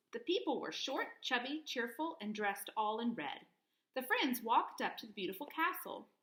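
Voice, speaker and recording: A woman reading a children's story aloud in an expressive, clearly phrased teacher's manner. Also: fairly high pitch, poor audio.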